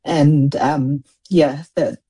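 Low-voiced New poet woman. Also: fairly even intonation, dark tone, slightly rough. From New Zealand. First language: Samoan. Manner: thoughtful, with frequent restarts and pauses. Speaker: poet and prize-winning author. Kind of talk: reflective interview answers.

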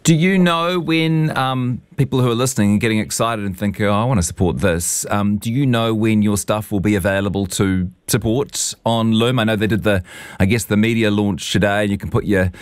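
Man, new zealand accent, radio host, low pitch, dry sound, chatty. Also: brisk, clear, resonant tone, dry recording. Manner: relaxed, chatty, says "mate". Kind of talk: radio interview.

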